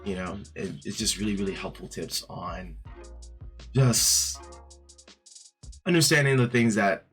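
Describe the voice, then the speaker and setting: A man reviewing an art book in a casual vlog, his voice raspy from just waking up, rambling with many fillers and restarts. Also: fairly low pitch, animated, measured pace, pauses often. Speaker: art YouTuber. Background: soft background music.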